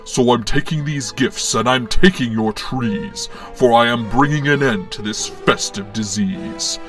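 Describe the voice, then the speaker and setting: A man voicing a menacing dark-suited Sith villain, booming, slow and pompous in a theatrical way. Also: recorded from a distance, soft background music, natural voice.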